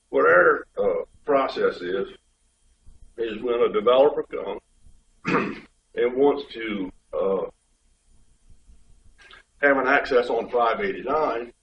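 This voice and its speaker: A man's voice: medium pitch, recorded from a distance. A man with a head cold lays out his points in a plain, step-by-step way at a community board meeting. He sounds set back from the microphone in an echoey meeting room. The pickup is dull, with a faint hum underneath. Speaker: state highway official. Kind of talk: explaining at a public board meeting.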